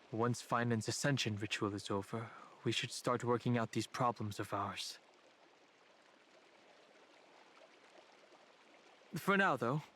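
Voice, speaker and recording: An actor playing a composed, observant traveling companion in a video game, a young man speaking in a measured, reflective and analytical way. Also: medium pitch, a bit bright, natural voice. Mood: pragmatic. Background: background music.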